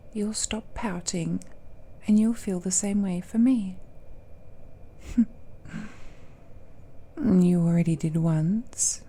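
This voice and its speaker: Woman playing a slow, silky, possessive ancient villainess. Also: fairly low pitch, dark tone. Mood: smug, possessive. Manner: slow, coaxing, condescending, often sinking into breathy whispers. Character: ancient, all-powerful female villain, possessive, smugly doting yandere. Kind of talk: romantic audio roleplay, intimate monologue to listener.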